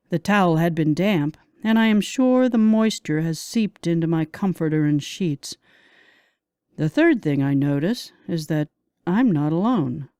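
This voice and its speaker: A woman reading a first-person romance audiobook as a narrator, in a dramatic, expressive manner. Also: lowish voice, smooth, no room sound, clean studio sound.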